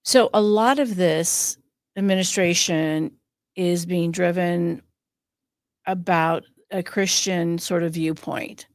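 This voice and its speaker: A woman's voice: on the low side, fairly even intonation, takes it slowly, no echo, clean studio sound. A woman hosting a spiritual podcast as a psychic medium, talking in a chatty way with frequent fillers and restarts. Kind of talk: casual podcast chat.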